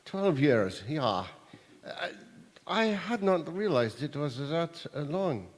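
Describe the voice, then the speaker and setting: A man acts out a music master in a radio drama. He is hesitant and awkward, speaking slowly in a fairly high, swinging voice. The hall around him echoes. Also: sing-song, exaggerated voice. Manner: halting, hesitant, many pauses. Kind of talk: radio drama acting before an audience. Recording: echoey hall, long reverb.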